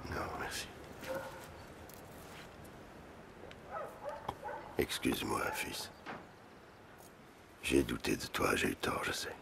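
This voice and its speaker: An actor playing a stern, proud old Gaulish chieftain and father, solemn and exhorting in an animated historical drama. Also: extremely low pitch, a little slow, gravelly, noisy background, exaggerated voice. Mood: regretful, wounded. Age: older. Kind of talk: animated historical docudrama acting. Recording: music behind.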